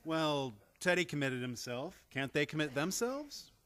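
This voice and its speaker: An actor in an old-time radio comedy playing a harried, excitable nephew, agitated and prone to stammering. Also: male voice, fairly high pitch, unhurried. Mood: harried, coaxing. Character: frantic, excitable nephew managing his dotty relatives.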